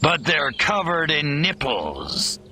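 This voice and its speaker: Sneering alien soldier, slow and deadpan, over a music bed. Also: male voice, a little high, full character voice. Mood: sarcastic. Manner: sarcastic, deadpan. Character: gruff alien invader, sarcastic and disgusted by humans.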